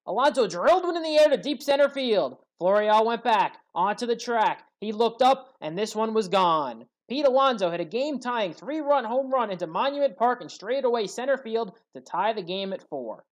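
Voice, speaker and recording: A man narrating scripted play-by-play sports highlights as a commentator, with dramatic emphasis. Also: expressive, normal speed, hardly any pauses.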